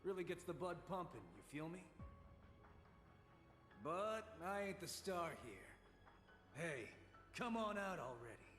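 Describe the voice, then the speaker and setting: An actor playing a swaggering, slangy young brawler in a video game. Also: male voice, sits high, expressive, a little slow, pauses often, a bit bright. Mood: cocky. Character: cocky, thrill-seeking young male brawler. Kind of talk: video game cutscene voice acting. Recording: long hall reverb, faint music.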